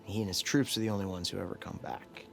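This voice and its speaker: Anxious man, lowish voice, hesitant, regretful, video game cutscene, faint music. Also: fairly even intonation, fast talker, vocal fry. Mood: wary. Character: anxious, regretful cult follower, a former scout man.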